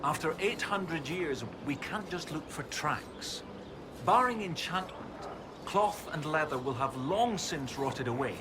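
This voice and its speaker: An animated bookish young scholar. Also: male voice, a little high, measured pace. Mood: eager, scholarly. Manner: scholarly, enthusiastic, precise explaining. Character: eager, bookish young male research professor, fussy and earnest. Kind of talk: video game voice acting. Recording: game audio over noisy outdoor ambience.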